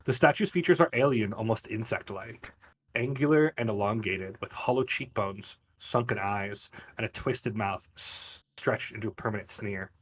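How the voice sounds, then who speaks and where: A man's voice: on the low side, normal speed, a bit bright, slight background noise. A man running a tabletop game as game master, narrating scenes with a descriptive, storytelling delivery.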